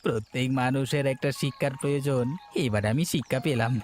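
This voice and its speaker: A man's voice: mid-range voice, steady tone, fast talker, darkish tone, slight background noise, lightly acted. A man playing a humbled village schemer in a cartoon moral story, pleading in a melodramatic way. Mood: repentant, pleading. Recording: music behind the voice. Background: background music.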